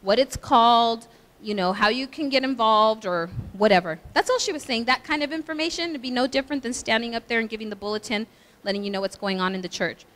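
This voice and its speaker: A high, girlish voice belongs to this middle-aged woman pastor, who speaks well above the usual pitch for a woman. She talks to a women's breakfast in a chatty, animated way, full of asides. A long hall reverb trails her words. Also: fast talker.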